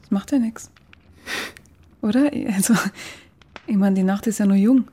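Actress as curious woman, chatty, down-to-earth, husky, soft dark tone, radio drama. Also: medium pitch, normal speed, close to an everyday voice. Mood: eager, coaxing. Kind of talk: informal radio drama dialogue.

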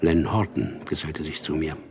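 An actor playing a drugged, tormented prisoner in a radio drama, narrating his memories in a gravelly, breathy, half-whispered inner monologue, brooding and bitter. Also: a bass voice, normal speed, darkish tone. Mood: brooding recollection.

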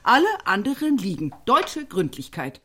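A woman's voice: medium pitch, sing-song, normal speed, a bit bright, a little hiss or hum. An actress playing a sardonic, critical woman in naturalistic radio drama dialogue.

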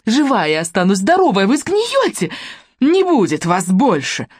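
A narrator doing a coarse, spiteful villainess. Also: female voice, on the high side, expressive, a little slow, dry recording, clean studio sound, voice pushed up. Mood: raging. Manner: mocking, shouting in rage. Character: coarse spiteful woman, gloating and vicious.

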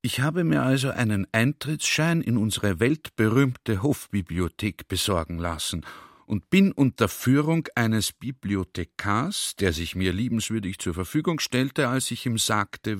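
Radio play role: a talkative army general, lowish pitch, dry sound, naively cunning, recounting with emphasis. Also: male voice, expressive, no room sound. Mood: wry, confiding. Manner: chatty, emphatic storytelling, drawn-out quoted speech. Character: garrulous, naively sly army general telling his adventure. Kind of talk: literary radio play monologue.

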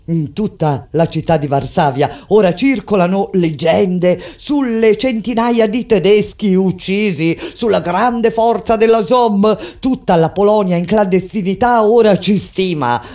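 A woman playing a resistance fighter who recounts a wartime uprising in solemn, deliberate, declamatory theatrical narration. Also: medium pitch, lightly acted. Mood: grave, subdued. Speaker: stage actor and narrator. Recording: loud music under the voice. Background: loud live orchestra music.

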